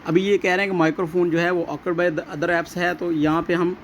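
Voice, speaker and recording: A man's voice: sits high, level tone, a little fast. A man with a Pakistani accent narrating a step-by-step app tutorial, explaining repetitively and addressing viewers as friends. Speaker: tutorial host. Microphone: voice-over recorded alongside phone screen recording. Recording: dull lo-fi audio, faint background noise.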